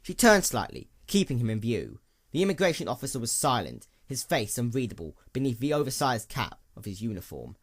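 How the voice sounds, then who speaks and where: A man reads fiction aloud as a narrator. His mid-pitched voice moves through a very lively range, and his delivery is deliberate and dramatic.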